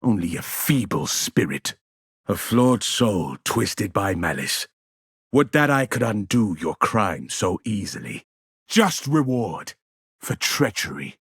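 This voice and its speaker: A pious, stern space marine from a video game. His voice is extremely husky and slow, with a gravelly edge, and he condemns like a preacher. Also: on the low side, big pitch swings, no echo, studio quality, full character voice. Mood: solemn disdain. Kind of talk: video game battle barks.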